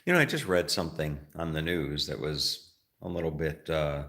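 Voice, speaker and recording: A middle-aged man from the United States talking casually as a travel vlogger, rambling and halting with many fillers and restarts. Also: rumbling low, lively intonation, a bit bright, slight background noise. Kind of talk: casual vlog talk.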